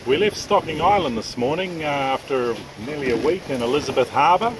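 A man in his fifties narrating his sailing trip in a relaxed, matter-of-fact way, speaking on a boat deck to a camera held at arm's length. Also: a little high, a little fast. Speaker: sailing vlogger. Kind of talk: casual travel narration. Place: open deck of a sailboat at sea. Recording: distant mic with wind and water noise. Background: boat and water noise.

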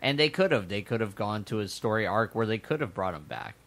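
A man's voice: on the low side, lively intonation, a little fast, fairly bright tone. A man co-hosting a podcast, explaining at length and with emphasis, drawing out his words in casual chat. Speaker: podcast co-host.

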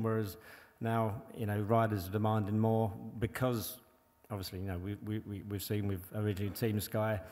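A man's voice: fairly low pitch, darkish tone. A man who once raced, talking as a panel guest on stage, rambling with many filler phrases. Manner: rambling, many "you know" and restarts. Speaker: bike engineer and former pro racer. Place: large hall on stage before an audience. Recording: long hall reverb.

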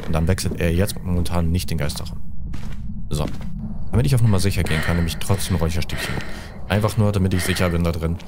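A man in his thirties with a low-pitched voice talks through a game in casual streamer fashion. He drops in English gamer slang as he goes. He sits close to a desk microphone, with noticeable game ambience behind him. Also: fast talker, noisy background. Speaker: let's play streamer. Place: indoors, seated close at a desk. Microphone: desk microphone at close range.

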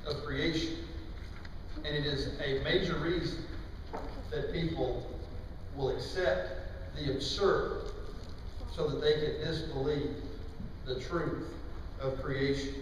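Echoey male preacher in a large hall. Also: on the high side. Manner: emphatic, deliberate phrasing with pauses. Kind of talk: sermon. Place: large church auditorium, indoors. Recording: distant, very echoey hall with faint noise.

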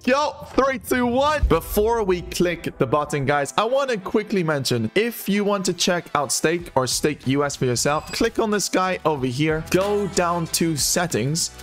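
A hoarse man commentating his online gambling in a casual, excitable way, calling his viewers guys and bro. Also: high voice, unhurried, hardly any pauses, soft background music. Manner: excitable, shouts and laughs at big wins. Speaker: gambling streamer.

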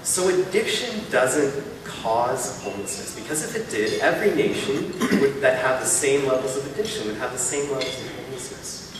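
A man's voice: medium pitch, lively intonation, moderate pace. Man, thirties, keynote speech, echoey hall recorded from a distance, room hiss. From Canada. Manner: earnest, persuasive, builds points in rhythmic lists. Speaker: keynote speaker. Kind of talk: public speech. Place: large hall, at a podium on stage. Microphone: podium mic, filmed from far off.

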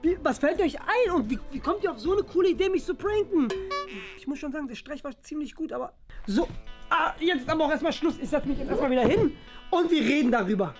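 A woman's voice: sits high, expressive, fast. A woman voicing a cocky, mischievous schoolboy with a cheeky, protesting manner in a children's toy-play story. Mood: startled, impressed. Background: music.

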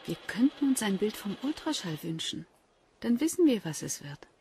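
A woman's voice: mid-range voice, sing-song, moderate pace, darkish tone, a little hiss or hum. An actress playing a playful, eager expectant mother in natural radio drama dialogue. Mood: teasing. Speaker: actress in a crime radio play.

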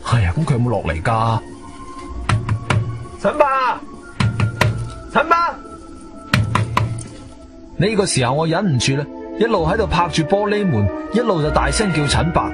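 A man's voice: a little high, moderate pace, pauses often. A male actor playing an agile, intense adventurer who narrates his own radio drama in suspenseful Cantonese. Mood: suspenseful narrating. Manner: animated, suspenseful storytelling. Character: agile martial-arts-trained adventurer, urgent and intense. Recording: studio radio drama with music behind.

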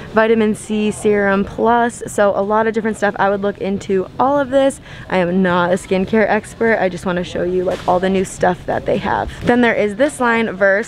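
A young woman talks through a casual shop-with-me video, recorded on a handheld phone inside a large store. Her delivery is chatty and upbeat, peppered with "like" and quick asides. Her voice sits at an ordinary middle pitch for a woman. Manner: chatty, upbeat, lots of "like" fillers. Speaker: vlogger. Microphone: handheld phone camera.

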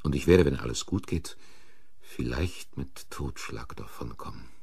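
A man's voice: a bass voice, lively intonation, unhurried, vocal fry, darkish tone, husky, no echo, exaggerated voice. A man acting a defensive, sardonic accused man in a German crime radio play, brooding and bitter. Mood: bitter, resigned. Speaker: actor.

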